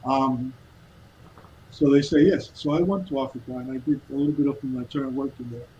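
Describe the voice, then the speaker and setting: A man from Cuba in his fifties, a guest telling his life story in an earnest, halting way with many restarts. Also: medium pitch, steady tone, dark tone, lo-fi sound, a little hiss or hum. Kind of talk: casual storytelling interview.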